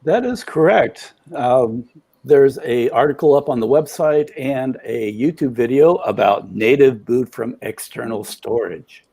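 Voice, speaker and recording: A man with a gravelly, husky voice speaks in a dry, matter-of-fact way on a live tech stream. His pitch is fairly low. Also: unhurried, dark tone. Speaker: guest co-host. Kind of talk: casual chat.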